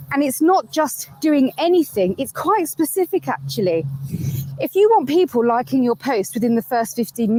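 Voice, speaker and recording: Woman on a video call from outdoors, instructive, high voice, repeats her key points. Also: mellow, a little hiss or hum, low-quality recording. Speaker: guest speaker. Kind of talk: giving social media tips on a call.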